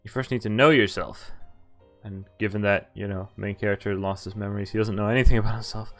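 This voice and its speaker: A man's voice: fairly low pitch, fairly even intonation, fast talker. A man streaming a visual novel, reading its text aloud with casual commentary over game music. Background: game background music.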